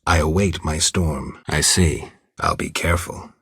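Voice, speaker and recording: An actor playing a gruff, stoic monster hunter in a video game, with a low, gravelly voice and a terse, deadpan delivery. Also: male voice, measured pace, no echo, studio quality, heavily acted. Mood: flat, matter-of-fact. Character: gruff, stoic male monster hunter, not entirely human. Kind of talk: video game dialogue acting.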